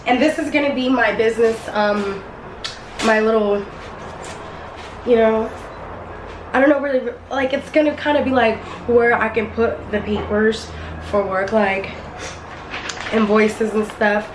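A young woman talks casually into a handheld camera, chatty and playful, with a slightly high pitch. Her voice is a touch hoarse. The audio is noisy, with clear background sound. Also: fairly even intonation, measured pace, pauses often. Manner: chatty and playful, with slang and laughs. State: hoarse, voice partly lost. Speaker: beauty vlogger. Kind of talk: casual vlog chat. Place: home beauty room, indoors, and outdoors in wind. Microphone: handheld camera at arm's length.

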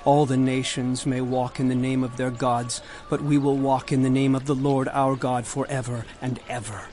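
A man's voice: mid-range voice, flat delivery, moderate pace, a little mellow, lightly acted. An actor playing a stern prophet in a dramatized Bible reading, solemn and declamatory as he denounces corrupt rulers. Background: music.

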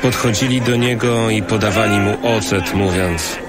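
Guy narrating a bible drama, lowish voice, slow and solemn, loud music under him. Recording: loud music bed behind.

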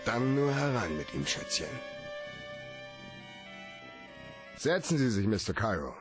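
An actor playing a hard-boiled, cynical private detective in a German radio play, terse and dryly probing. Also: male voice, on the low side, sing-song, moderate pace, faint music behind. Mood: cool, businesslike.

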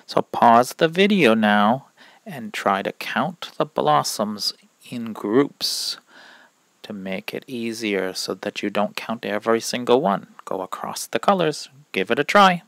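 A man reading rhyming verses aloud from a children's picture book in a deliberate, expressive way, pausing between phrases. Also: mid-range voice, vocal fry, dry recording. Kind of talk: children's story read-aloud of rhymes.

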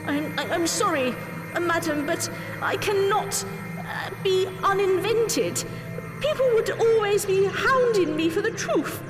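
A woman's voice: very high-pitched, lively intonation, long reverb tail, music in the background, voice pushed up. A woman voicing a flustered, pleading male professor who stammers and halts, in an audio story. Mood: apologetic. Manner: stammering, halting.

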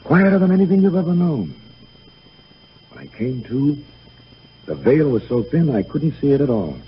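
Guy acting young soldier ghost son, breezy n gentle, swingy pitch, old muffled radio play. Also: very animated, moderate pace, lightly acted. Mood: calm, reflective. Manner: boyish, coaxing, informal. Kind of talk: 1930s radio drama acting. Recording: muffled, noisy 1938 radio broadcast.

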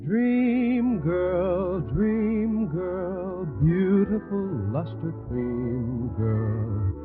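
A man's voice: high voice, lively intonation, drawn-out words, music in the background. A man singing a commercial jingle in 1940s radio style. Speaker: jingle singer. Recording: tinny 1940s radio broadcast.

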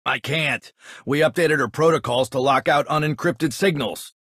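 A blunt male technician in a video game. His mid-range voice is husky and dryly recorded, and he talks in a casual, offhand way. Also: fairly high pitch, normal speed, a bit bright, no echo, clean studio sound. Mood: matter-of-fact. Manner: offhand, colloquial. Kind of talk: dramatic video game dialogue.

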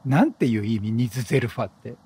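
A man giving casual, chatty game commentary, exclaiming and reacting out loud. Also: medium pitch, expressive, quick pace, a little hiss or hum. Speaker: gaming commentator.

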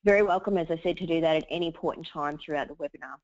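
A woman, hoarse from a cold, hosting a webinar and running through housekeeping in a chatty way with many fillers. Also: deep voice, very fast, a little mellow, no room sound. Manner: chatty, lots of uhs. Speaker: webinar host. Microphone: computer mic over a webinar line. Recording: dull dry webinar audio.

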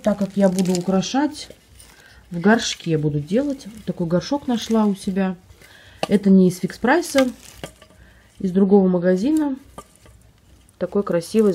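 A woman vlogger with a slightly hoarse voice from a cold, chatting casually at home and describing items she holds up for a phone camera. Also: medium pitch, warm tone, slight background noise. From Russia. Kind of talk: casual show-and-tell vlog. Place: at home, indoors.

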